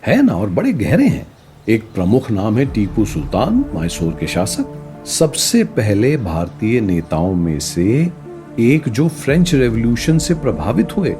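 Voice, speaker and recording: A man's voice: lowish voice, unhurried, music in the background. A man with an Indian accent playing an enthusiastic, encouraging teacher who explains history conversationally in a radio play. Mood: enthusiastic, explanatory. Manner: animated, encouraging, asks rhetorical questions. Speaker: teacher and storyteller.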